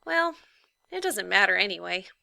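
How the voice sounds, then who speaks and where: A woman's voice: mid-range voice, big pitch swings, normal speed, vocal fry, pushed and bright, full character voice. A woman voicing a bored, skeptical young boy in a storybook, musing half to himself. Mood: dismissive.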